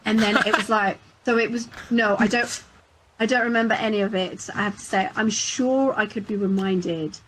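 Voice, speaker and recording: A woman voice actor answering panel questions in casual chat, laughing often and telling animated stories. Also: medium pitch, moderate pace, noisy background. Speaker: voice actor guest.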